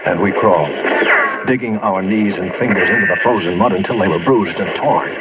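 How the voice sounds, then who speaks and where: An American man playing a weary, haunted war veteran who tells his story in a reflective, deliberate radio drama style. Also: deep voice, very noisy, natural voice. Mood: grim, intense. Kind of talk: vintage radio drama acting and narration. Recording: muffled vintage radio recording, music behind.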